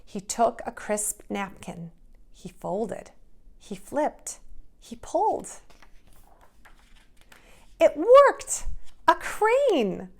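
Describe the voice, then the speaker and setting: Female preschool teacher, unhurried and expressive, slight creak, for children's read-aloud and simple craft steps. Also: a little high. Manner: expressive, simple step-by-step phrasing for young children.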